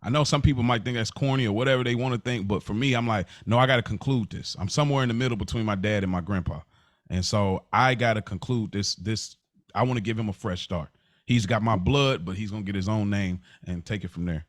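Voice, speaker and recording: A man's voice: fairly low pitch, quick pace, no echo, clean studio sound. A man co-hosting a podcast in casual, slangy talk, often repeating and rephrasing himself as he explains things. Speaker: podcast co-host. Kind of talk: casual chat.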